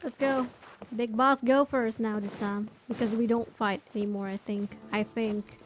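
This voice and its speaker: A woman's voice: a little high, fairly even intonation, moderate pace, a little hiss or hum. A woman giving casual gameplay commentary as she plays and reacts.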